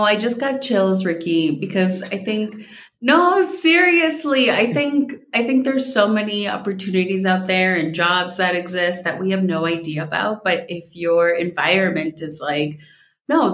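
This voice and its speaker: A woman's voice: on the low side, echoey room. A woman hosting a podcast, talking casually and prompting her guest in an eager, friendly way. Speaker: podcast host. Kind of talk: casual interview chat.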